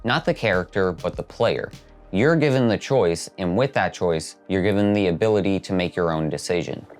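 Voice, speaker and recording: A man's voice: low voice, normal speed. A man hosting a video essay, reading scripted narration. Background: background music.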